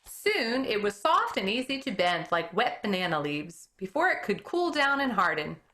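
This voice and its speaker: A teacher reading a children's book chapter aloud, expressive and correcting her own slips as she goes. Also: mid-range voice, moderate pace.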